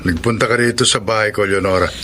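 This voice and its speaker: An actor playing a stern, reproachful elder brother and concerned uncle in a Filipino radio drama, with a Filipino accent. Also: male voice, on the low side, fairly even intonation, fast, very noisy, natural voice.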